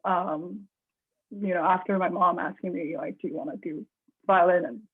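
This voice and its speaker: A young woman from China, a composer, chatting in an interview with many restarts and soft laughs. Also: on the low side, steady tone, normal speed. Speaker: composer guest. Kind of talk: casual interview conversation. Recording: husky voice over tinny phone-like line.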